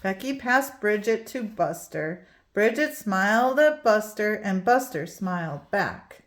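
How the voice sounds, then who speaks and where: A woman reading a children's picture book aloud, expressive and storytelling. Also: medium pitch, takes it slowly. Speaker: read-aloud host.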